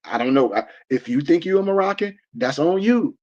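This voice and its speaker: American man, forties, preachy live discussion host, fairly high voice, dull stream audio. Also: lively intonation, a little fast, darkish tone. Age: 40s. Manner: preachy and emphatic, many restarts. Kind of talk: livestream discussion.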